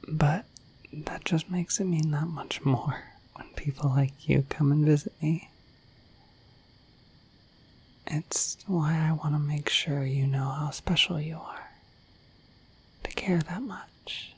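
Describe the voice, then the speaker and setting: A woman voicing a shy, affectionate, dorky dragon in a bashful, hesitant breathy whisper for an ASMR roleplay. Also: low voice, a little mellow, heavily acted, poor audio. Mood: tender, reassuring. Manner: bashful, hesitant, apologetic, rambling.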